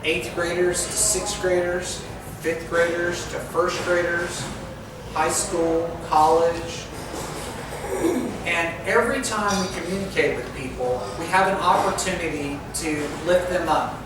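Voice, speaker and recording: A man's voice: high voice, fairly even intonation, unhurried. A man speaking to an audience, giving coaching advice directly to his listeners. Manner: instructive, coaching tone with direct address. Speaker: speaker giving a club talk. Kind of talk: presentation to an audience. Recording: echoey hall from far off with noise.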